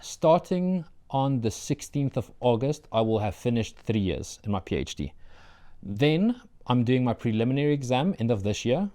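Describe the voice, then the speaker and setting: A young man from South Africa with a South African accent, Afrikaans his first language, answering interview questions about his doctoral studies in an earnest, measured way with frequent fillers. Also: mid-range voice, very animated, warm tone. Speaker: PhD candidate, interviewee.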